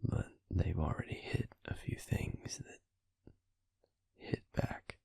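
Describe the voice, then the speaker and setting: A man playing a reserved, loyal vampire in an audio drama, speaking tersely in a soft, gravelly voice. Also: slow pace, no echo, in a whisper, close to an everyday voice. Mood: subdued, cautious. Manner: terse, restrained, soft-spoken. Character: reserved, loyal male vampire, quiet and guarded. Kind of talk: audio roleplay drama acting.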